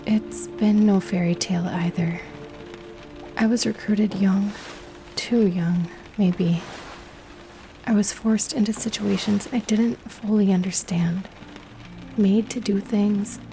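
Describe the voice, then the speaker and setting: A woman playing a weary, wounded but caring agent in a sci-fi horror audio drama, with a breathy, earnest delivery. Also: medium pitch. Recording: music under the voice. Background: music.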